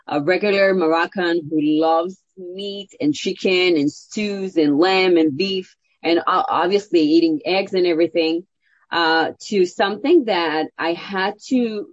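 Low-voiced woman chef, dull dry sound. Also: no room sound. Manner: warm, enthusiastic storytelling with fillers. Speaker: guest, celebrity chef and cookbook author. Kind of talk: interview answers.

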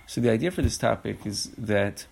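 A man speaks alone into a podcast mic in a low, gravelly voice. He thinks aloud and backs up often to restart his sentences. He talks in a reflective, unhurried way. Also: expressive, darkish tone, poor audio. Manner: halting, many restarts and fillers. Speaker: podcast host. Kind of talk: reflective solo podcast talk.

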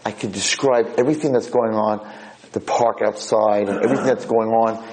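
A man's voice: fairly low pitch, fairly even intonation, moderate pace, darkish tone, natural voice, rough recording. A man voicing a kindly, happy-go-lucky elderly nursing home resident in a spoken story, earnest and prone to stammering. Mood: earnest, persuasive. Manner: earnest, stammering when flustered.

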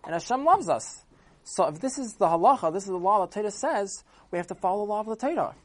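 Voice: medium pitch, voice pushed up. A storyteller as a patient, firm rabbi.

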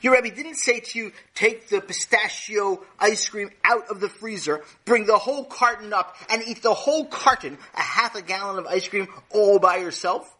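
A man's voice: high-pitched, moderate pace, few pauses, bright, forceful tone. A man voicing an exasperated mother who keeps questioning her son, in a raised, incredulous voice. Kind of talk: storytelling with character voices.

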